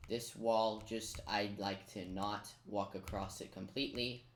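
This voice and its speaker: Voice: low-pitched, fairly even intonation, measured pace. A person giving casual, chatty video game tutorial commentary, thinking aloud in a self-deprecating way. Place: indoors in a roomy-sounding room at a computer. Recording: roomy echo, keyboard clicking noise. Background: keyboard typing and clicks.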